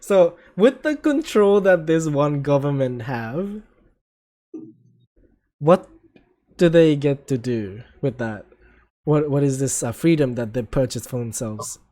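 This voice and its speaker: A young man with an Australian accent hosting a casual chat, asking calm, probing questions and laughing often. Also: on the high side, slow pace, long pauses. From Philippines and Japan heritage, living in Australia. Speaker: host.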